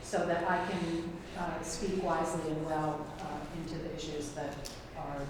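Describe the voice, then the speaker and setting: A woman priest introduces herself thoughtfully, with a somewhat low voice. She is picked up from far off in a very echoey space. A clear hiss runs underneath. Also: little pitch movement, measured pace. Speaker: assistant rector. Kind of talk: self-introduction. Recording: echoey room from far off with noise.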